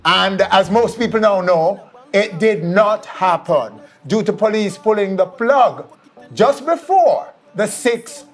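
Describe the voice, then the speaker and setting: Male entertainment interviewer, Jamaican accent, high register, careful phrasing, light music bed. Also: lively intonation, slow pace. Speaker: TV show host and interviewer. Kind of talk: presenting and interviewing on an entertainment show.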